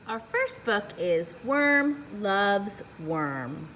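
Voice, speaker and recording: A woman's voice: mid-range voice, sing-song, drawn-out words, fairly bright tone, slight background noise, low-quality recording. A woman reading a picture book aloud to young children as a library storyteller.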